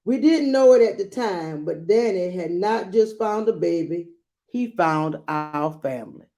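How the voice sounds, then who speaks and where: A woman reading a children's picture book aloud, adding gentle explanatory asides for young listeners. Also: lowish voice, expressive, unhurried. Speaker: read-aloud storyteller.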